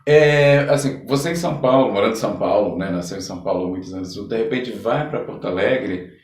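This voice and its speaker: A man with a Brazilian accent speaks in a fairly low voice. He hosts a casual podcast interview in a conversational way. The recording is roomy and echoey, with him sitting a bit off the microphone. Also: fairly quick. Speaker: podcast host. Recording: roomy echo, distant microphone.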